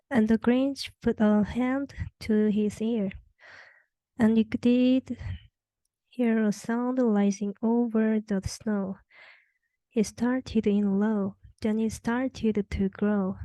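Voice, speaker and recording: A woman's voice: on the high side, steady tone, slow pace, warm tone, no room sound, poor audio. A young adult woman reading a children's rhyming story aloud as the narrator. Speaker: storybook reader.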